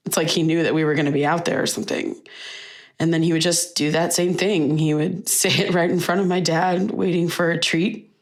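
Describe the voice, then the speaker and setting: An actress playing a young grieving daughter in a naturalistic audio drama, talking in a halting, conversational, wistful way. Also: female voice, sits low, steady tone. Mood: fond reminiscing. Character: grieving daughter reminiscing fondly about her late father.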